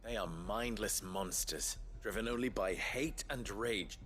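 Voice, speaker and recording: A man playing a composed, authoritative town leader in a video game, speaking in formal, measured phrases. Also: on the low side, fairly bright tone. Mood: grave, resolute. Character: composed adult male town leader rallying defenders, authoritative. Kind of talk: dramatic video game dialogue.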